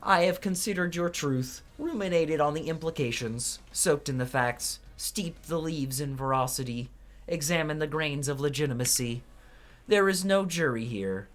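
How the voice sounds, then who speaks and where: A man's voice: on the high side, expressive, normal speed, pitched above the performer's own voice, lightly acted. A man voicing a solemn, grandiose video game judge in a pompous, declamatory manner. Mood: grand, solemn. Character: solemn, grandiose judge pronouncing truth and justice.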